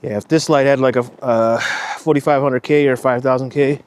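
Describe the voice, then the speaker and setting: A man's voice: medium pitch, fairly even intonation, fairly quick, darkish tone, clean tone. A man vlogging casually on a night walk outdoors, rambling and swearing now and then, with a slight Minnesotan accent. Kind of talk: casual walking vlog.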